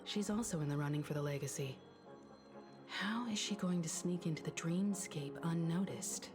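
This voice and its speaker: A woman plays a shrewd game investigator who reasons through clues in a measured, analytical way. Her voice is low for a woman. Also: a little mellow, faint music behind, close to an everyday voice. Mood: calm.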